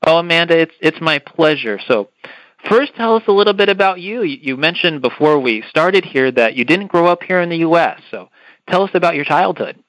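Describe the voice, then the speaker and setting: A man's voice: on the high side, animated, brisk, fairly bright tone, dry recording, poor audio. A man hosting a podcast interview, enthusiastic and given to repeating words as he asks his questions. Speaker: podcast host.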